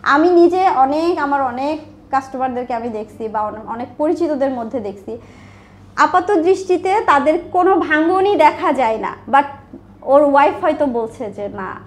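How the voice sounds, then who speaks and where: A woman with a Bangladeshi accent speaking candidly and emphatically in a conversational interview, mixing in English words. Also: high-pitched. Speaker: interview guest, online business owner.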